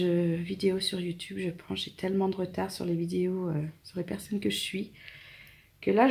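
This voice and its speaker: Chatty French woman with a gravelly edge. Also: mid-range voice. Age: 20s. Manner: chatty, rambling, talks softly to her cats. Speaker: family vlogger. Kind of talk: casual chat to camera.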